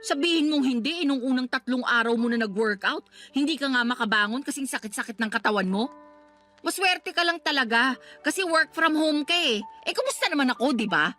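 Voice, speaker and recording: A feisty young fiancée in a radio drama. Her voice is high and piercingly bright, with a combative, sarcastic edge. Faint music runs underneath. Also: lively intonation, fairly quick. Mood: indignant. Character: feisty, sharp-tongued young fiancée, defiant and complaining. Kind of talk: Tagalog radio drama acting.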